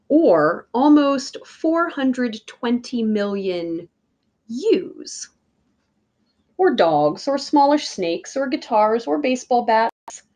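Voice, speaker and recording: Female children's read-aloud voice, unhurried, very expressive intonation, emphatic teacher delivery. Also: a little high. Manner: deliberate, emphatic phrasing addressed to young listeners. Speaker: teacher reading a picture book aloud.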